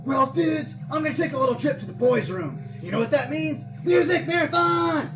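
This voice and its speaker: An actor playing a brash, excitable dude of a radio DJ in an audio drama, hyped-up and shouting his patter. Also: very high-pitched, moderate pace. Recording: distant, music behind.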